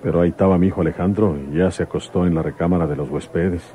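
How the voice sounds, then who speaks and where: An actor playing a flirtatious, folksy rural detective in a radio soap, with a Mexican accent and a colloquial, coaxing manner. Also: male voice, low-pitched, fairly even intonation, rapid-fire, phone-quality sound, natural voice. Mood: chatty, aggrieved. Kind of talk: Spanish-language radio soap acting.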